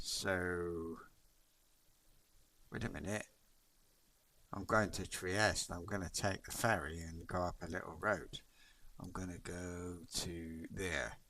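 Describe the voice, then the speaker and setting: A man's voice: sits low, creaky. A man, musing aloud and questioning himself while playing a driving game. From England. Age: 60s. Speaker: gaming channel host. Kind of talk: casual gameplay commentary. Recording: distant mic under loud truck engine noise.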